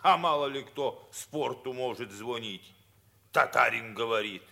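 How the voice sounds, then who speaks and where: An actor playing a muttering, folksy grumbler in a 1950s radio play. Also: male voice, mid-range voice, measured pace, vocal fry, pushed and bright. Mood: grumbling.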